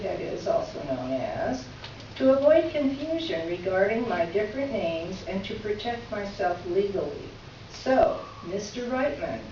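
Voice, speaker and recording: A woman's voice: mid-range voice, darkish tone, close to an everyday voice. Distant, echoey, haughty lady columnist played by a woman. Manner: formal, precise, pointed emphasis. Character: indignant well-to-do female columnist, haughty, pointedly polite. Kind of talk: staged readers theater letter reading. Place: live reading in an echoey room. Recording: distant echoey room, muffled, faint noise.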